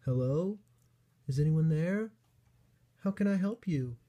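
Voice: medium pitch, expressive, slow, dark tone, pitched above the performer's own voice. A reader voicing a small young bunny princess in a children's storybook, eager, kind and earnest. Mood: curious, concerned.

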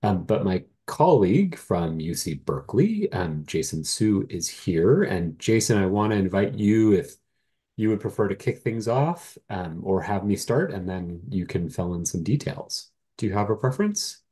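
This man sounds stuffy and congested from a cold, his voice very deep and dark, with pitch that rises and falls a lot. He presents over a video call, halting on frequent ums and apologizing as he goes. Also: big pitch swings. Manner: apologetic, hesitant with many ums. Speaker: nonprofit presenter. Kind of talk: meeting presentation.

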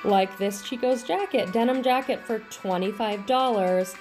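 A middle-aged woman with a high, light voice and a faint Boston accent. She chats eagerly and casually about her clothing finds, while soft music plays faintly behind her. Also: measured pace. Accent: Boston, on a handful of words. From Boston area, USA. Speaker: thrift reseller vlogger. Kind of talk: casual haul vlog.

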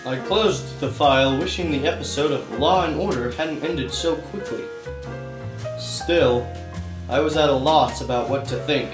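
A man's voice: fairly high pitch, unhurried, echoey room, over music, poor audio. A man narrating and acting as a wisecracking detective in a church radio play. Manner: wisecracking, hard-boiled narration.

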